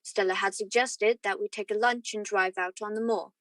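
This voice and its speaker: A woman playing a reflective middle-aged husband narrating memories in a gentle radio drama style. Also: medium pitch, steady tone, moderate pace, no echo, lightly acted.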